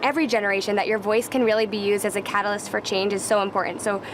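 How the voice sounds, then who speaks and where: A woman's voice: medium pitch, fairly even intonation, racing. A young woman pageant contestant giving earnest, polished interview answers. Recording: background noise.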